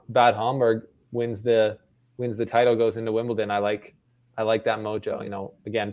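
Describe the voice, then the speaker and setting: A man's voice: on the low side, a little mellow. A man co-hosting a casual sports chat in an upbeat, slangy way. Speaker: sports show co-host.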